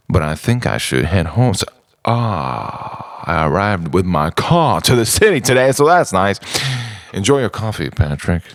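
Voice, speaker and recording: A man with a low voice recites a confessional spoken-word piece. His delivery is slow and theatrical, swinging widely in pitch between phrases, with deliberate pauses and repetition. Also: no echo. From Europe. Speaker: writer-performer reciting his own monologue.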